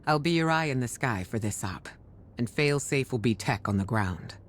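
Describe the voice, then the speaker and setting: A woman playing an authoritative, concerned commander in a sci-fi video game, measured, formal and serious. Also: extremely low pitch, animated.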